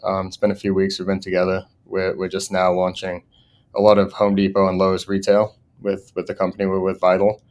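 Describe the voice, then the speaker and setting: A man's voice: low-pitched, completely flat delivery, fairly quick, darkish tone. A man in his thirties with an English accent that has gone partly American, telling stories as a podcast guest and laughing often. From England. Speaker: guest, solar sales manager. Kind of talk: casual podcast interview.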